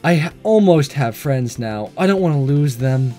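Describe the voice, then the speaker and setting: A man reading a visual novel aloud and commenting on it in a playful, excitable, self-deprecating way. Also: mid-range voice, normal speed. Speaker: gaming video host. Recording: music under the voice.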